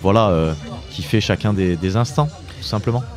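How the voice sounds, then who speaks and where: A man in his late twenties from southeastern France answering interview questions conversationally, often pausing and repeating himself as he searches for words. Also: on the low side, soft background music. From Drôme, southeastern France. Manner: hesitant, searching for words, repeating phrase starts. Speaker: interview guest, author and entrepreneur.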